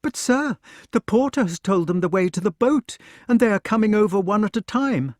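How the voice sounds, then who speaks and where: Casting: flustered young maid, high and hurried, put on by a male narrator, crisp dry sound. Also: expressive, no room sound. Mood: anxious.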